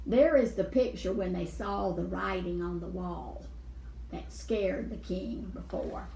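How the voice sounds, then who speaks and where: A woman's voice: on the low side, measured pace, creaky, mellow, slight background noise. A woman storyteller reading a Bible story aloud for children, explaining and repeating words for emphasis. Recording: echoey room, distant mic.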